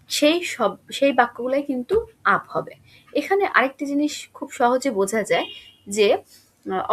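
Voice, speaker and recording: A woman's voice: a little high, a bit bright, husky, poor audio. A woman teaching schoolchildren in an explanatory, instructive way, often asking questions and answering them herself. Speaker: English grammar teacher.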